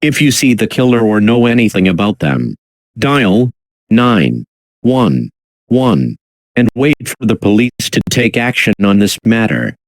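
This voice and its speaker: A man reading a scripted emergency alert as an announcer, in a measured, official, impersonal manner. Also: on the low side, sing-song, no room sound. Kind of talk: formal scripted emergency broadcast.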